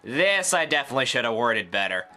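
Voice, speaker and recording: A male video reviewer reads opinionated commentary in a bright, forceful voice. His pitch moves a great deal and his asides are sarcastic. Music plays behind him. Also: very animated, quick pace.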